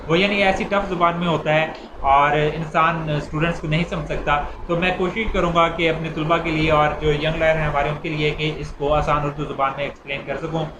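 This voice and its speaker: A man with a Pakistani accent giving an informal explanatory law lecture, speaking haltingly and repeating words as he explains. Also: fairly high pitch. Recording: echoey room, clear background noise.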